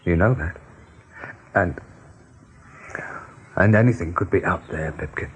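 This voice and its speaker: A man playing a steady, caring but worried rabbit leader in a radio play, sober and protective in manner. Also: sits low, a little slow, slightly rough, muffled recording, heavily acted. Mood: grave.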